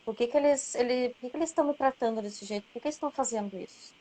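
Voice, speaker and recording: Woman author, higher-pitched voice; relaxed conversational reflection that closes points with tag questions. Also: racing. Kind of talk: casual book chat.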